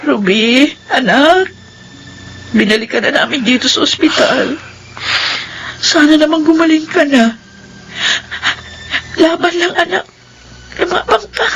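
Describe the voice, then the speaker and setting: High-voiced, anxious Filipino mother. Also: measured pace, creaky, long pauses, a bit bright, a little hiss or hum, exaggerated voice, low-quality recording. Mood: sorrowful. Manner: urgent, emotional, pleading. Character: anxious, loving mother of a sick daughter. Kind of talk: Filipino radio drama acting.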